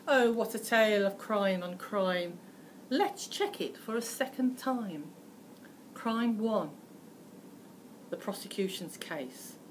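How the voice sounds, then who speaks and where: British lady reading a rhyming kids story, slow and super expressive, bit of room noise. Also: medium pitch, rough recording. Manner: theatrical, emphatic, deliberate phrasing. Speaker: teacher reading aloud for children. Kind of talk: dramatic rhyming storybook narration.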